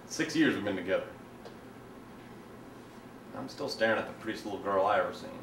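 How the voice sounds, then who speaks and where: A man performing a dramatic monologue as a self-righteous, resentful young man, telling his story in a halting, colloquial, confiding way. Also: fairly low pitch, animated. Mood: casual, confiding. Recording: echoey room, distant mic, faint noise.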